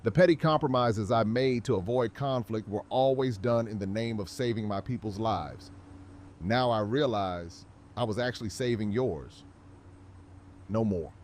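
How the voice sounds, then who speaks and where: A man's voice: lowish voice, normal speed, darkish tone, lightly acted. An actor playing a noble, theatrical king with formal, courtly diction in an audio drama. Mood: resolute.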